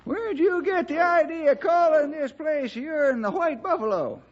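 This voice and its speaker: A man's voice: very high-pitched, a little slow, slight background noise. A male actor playing a folksy old-timer cowboy sidekick with a rural Western American accent in a 1960s radio drama. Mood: curious. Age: older. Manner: folksy, drawling dialect speech. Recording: dull vintage radio recording.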